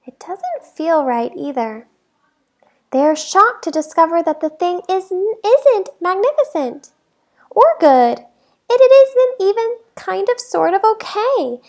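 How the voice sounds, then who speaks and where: A woman with a high voice reads a children's storybook aloud. She takes her time, phrasing each line deliberately and leaning on key words for young listeners. The delivery is expressive, like a teacher at story time. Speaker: teacher reading a picture book aloud.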